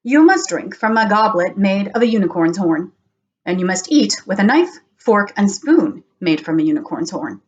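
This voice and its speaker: A sly, scheming court doctor from a storybook, read by a woman. The words come measured and conspiratorial, at times hushed, in a medium-pitched voice. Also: sing-song, muffled recording, natural voice. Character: cunning male royal doctor, sly scheming adviser.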